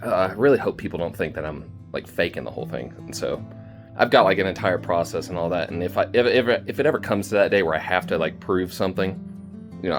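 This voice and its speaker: A man talking in a casual, rambling vlog style to his listeners, informal and halting as he thinks aloud. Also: low voice. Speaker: storytelling channel creator and host. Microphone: phone video recording.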